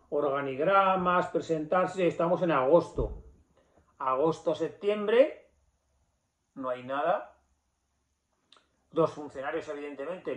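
A man giving an indignant, emphatic opinion monologue to camera in a small office, with rhetorical questions and repetition. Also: on the high side. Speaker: political commentator. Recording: echoey room, slightly dull sound.

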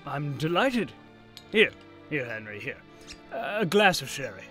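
A man plays an elderly professor in a radio-style drama, genial and easily amazed. His fairly high voice swoops up and down as he gushes and stammers. Music plays underneath. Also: very animated, a little slow, sharp tone, exaggerated voice. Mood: eager delight. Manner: effusive, stammering with delight. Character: excitable elderly male professor, genial and marvelling. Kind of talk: audio drama acting.

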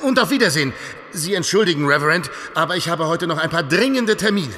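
An actor playing a busy, businesslike lawyer in a radio play, brisk in manner. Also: male voice, a little high, lively intonation, sharp tone, long reverb tail. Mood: brisk, hurried. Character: brisk, busy male lawyer, newly married. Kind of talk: German radio play acting.

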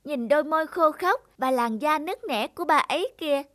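A performer playing an excitable, helpful young talking rabbit in a cartoon fairy tale. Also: sits high, a little fast, faint music behind. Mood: curious, eager. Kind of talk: cartoon animal voice acting.

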